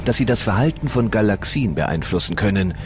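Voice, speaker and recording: A man giving scripted documentary narration in a measured, solemn way. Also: fairly low pitch, animated. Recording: music bed under the voice.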